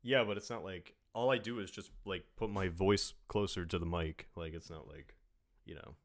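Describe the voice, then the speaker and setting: Low-voiced man drawling through podcast chat. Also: normal speed. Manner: drawling, trails off into mumbles. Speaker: podcast host.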